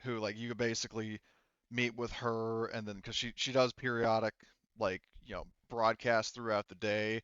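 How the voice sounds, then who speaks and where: A man's voice: fairly low pitch, level tone, moderate pace, fairly bright tone, smooth, no room sound. A man game-mastering a tabletop roleplaying game in an informal, rambling way full of asides, on a slightly muffled recording.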